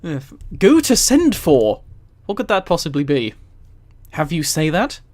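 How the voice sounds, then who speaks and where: Higher-pitched man, casual and giggly. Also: wildly swooping pitch, a little slow, a little mellow. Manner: giggly, easily amused. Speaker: streamer. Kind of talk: casual livestream reading with amused commentary.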